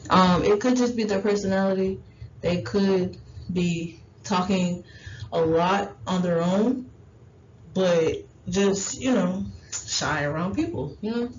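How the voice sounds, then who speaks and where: A woman's voice: medium pitch, takes it slowly, a little mellow. A woman whose first language is Haitian Creole, giving parenting advice in an informal presentation, rambling with lots of fillers and restarts. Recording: dull lo-fi recording with faint noise.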